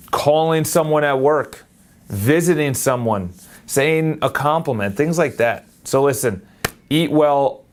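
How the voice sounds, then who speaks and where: A young man in his late twenties talks straight into his phone camera in a loose, unscripted vlog. He is energetic and rambling, and he often stops and restarts his sentences. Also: mid-range voice. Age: 20s. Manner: energetic, rambling, self-correcting. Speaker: vlogger. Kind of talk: casual talk to camera.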